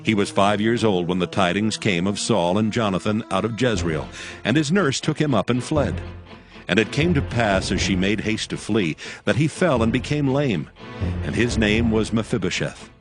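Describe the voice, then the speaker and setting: Male narrator, fairly low voice, solemn measured scripture reading, clear music bed. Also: lively intonation. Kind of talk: scripted dramatized Bible narration.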